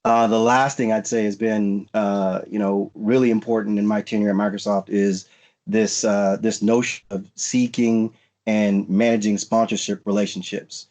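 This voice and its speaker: Low-voiced man giving career advice. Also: flat delivery, normal speed, darkish tone. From United States. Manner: frequent fillers and restarts, earnest advice-giving. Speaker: panelist at a recruiting event. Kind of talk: virtual panel talk.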